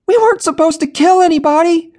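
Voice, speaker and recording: A frantic young woman robber in a storybook reading, put on by a man, pitched well above his own voice. She yells sharp orders and threats, her pitch leaping high and all over the place. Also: expressive, brisk, slightly rough. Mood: panicked.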